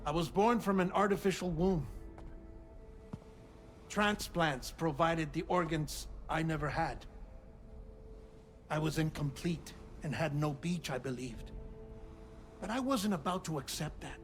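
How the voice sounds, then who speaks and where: Man playing dying scientist-doctor for game cutscene, weary yet earnest, measured formal delivery, higher male register, light score underneath. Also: soft background music, lightly acted. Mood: weary, resigned. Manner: confessional, deliberate, with pauses and formal phrasing. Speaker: doctor and researcher. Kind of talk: dramatic video game cutscene monologue.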